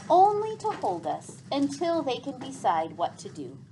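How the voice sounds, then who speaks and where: A woman's voice: high-pitched, huge pitch swings, measured pace, warm tone, a little hiss or hum, heavily acted, low-quality recording. A woman reading the part of an assured, matter-of-fact woman healer in a storybook, explaining things formally.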